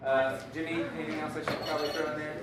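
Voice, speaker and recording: A man hosting a podcast, making informal, halting announcements in an apologetic way. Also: fairly high pitch, little pitch movement. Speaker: podcast host. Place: live show at a comic shop, before an audience. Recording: roomy, distant mic, faint noise.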